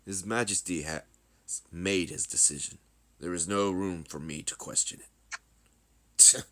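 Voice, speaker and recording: A man playing a calm, stoic second-in-command in a game story, quiet and restrained. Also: deep voice, takes it slowly, heavily acted. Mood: resigned.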